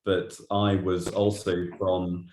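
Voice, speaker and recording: A man's voice: deep voice, flat delivery, slow, a little mellow, echoey room, low-quality recording. A man with a Glaswegian accent, a guest author chatting in an interview, often stammering and repeating words as he starts sentences. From Glasgow, Scotland. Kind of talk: casual interview chat.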